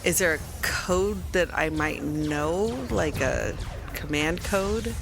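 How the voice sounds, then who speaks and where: A woman's voice: sits low, slow, gravelly. A hesitant woman playing a tabletop role-playing game, switching between in-character lines and casual talk. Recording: loud music bed behind voice.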